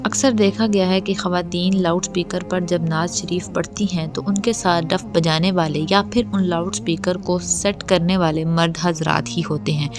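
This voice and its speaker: A woman speaks as a religious lecturer in a fairly low voice. She explains and admonishes in a didactic way, with music clearly playing behind her. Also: fairly even intonation, moderate pace, hardly any pauses. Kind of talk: religious explainer talk to camera or microphone. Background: background music.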